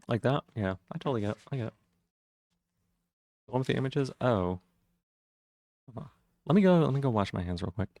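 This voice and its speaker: A man streaming live, chatting casually with viewers and greeting them by name, with a rough voice today. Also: no echo, clean studio sound. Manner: friendly, greets chat members by name, jumps up in pitch on greetings.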